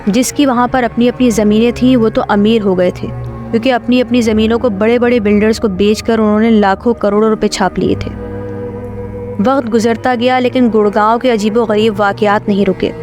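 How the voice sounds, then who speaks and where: Higher-voiced woman narrating horror over music. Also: fairly even intonation, a little fast. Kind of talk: scripted horror story narration. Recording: clear music bed under voice.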